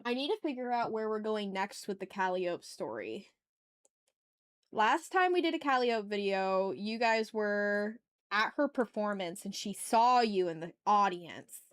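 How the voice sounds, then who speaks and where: A woman's voice: mid-range voice, expressive, measured pace, dry recording, clean studio sound. A woman chatting casually and playfully with her viewers as she hosts a live art stream. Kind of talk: casual livestream chat while drawing.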